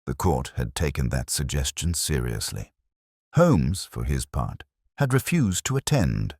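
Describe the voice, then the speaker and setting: Male story narrator, extremely low register, solemn and literary, with swooping intonation. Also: very animated, measured pace, a little mellow, breathy edge, no echo, clean studio sound. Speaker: audiobook narrator. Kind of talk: scripted narration of a Victorian detective story.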